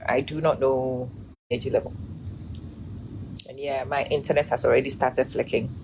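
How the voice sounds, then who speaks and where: A low-pitched woman in her forties hosts a chatty live stream. She laughs often, greets viewers by name and sounds short on energy, with a faint hum of noise behind her. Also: little pitch movement, moderate pace, vocal fry, a little mellow, poor audio. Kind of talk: casual live-stream commentary.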